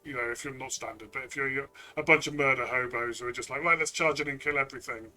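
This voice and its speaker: Very bright, sharp-voiced man chatting. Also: mid-range voice, fairly even intonation, fast. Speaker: co-reviewer and game player. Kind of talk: conversational review discussion.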